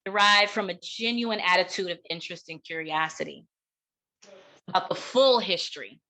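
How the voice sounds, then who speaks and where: A middle-aged American woman delivering an impassioned keynote talk in an emphatic, deliberate way, with rhetorical pauses and repetition. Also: fairly low pitch, bright, forceful tone, low-quality recording. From United States. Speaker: keynote speaker and educator.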